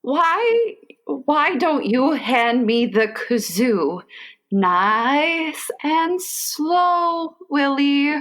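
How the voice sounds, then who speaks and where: A woman plays a long-suffering, fretful mother in a radio comedy. Her voice is high and swoops dramatically, and she draws her words out slowly. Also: big pitch swings, fairly bright tone, full character voice. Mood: coaxing. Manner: melodramatic, drawn-out. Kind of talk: comic radio play acting.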